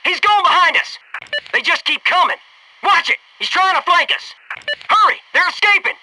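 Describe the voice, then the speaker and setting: A man's voice: very high-pitched, expressive, normal speed, sharp tone, slight background noise, full character voice, poor audio. An American man voicing a wisecracking, gung-ho special forces soldier in a video game, with a sarcastic, informal delivery. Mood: urgent, shouting. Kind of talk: video game combat barks and quips.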